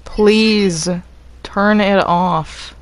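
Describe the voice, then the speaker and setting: A woman's voice: medium pitch, steady tone, drawn-out words. A woman talking casually in a group game voice chat, earnest and exasperated, swearing freely. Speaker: player in a group Minecraft roleplay.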